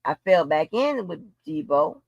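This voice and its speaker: A woman's voice: lowish voice, sing-song, a little slow, vocal fry, darkish tone, no room sound, muffled recording. A woman in an unscripted livestream rant, blunt, profane and confrontational, repeating phrases for emphasis. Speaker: livestream host.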